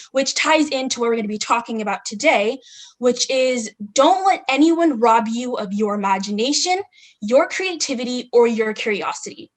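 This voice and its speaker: A woman's voice: high voice, poor audio. A young woman from California giving a student group presentation, reading from slides with frequent ums. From California, United States.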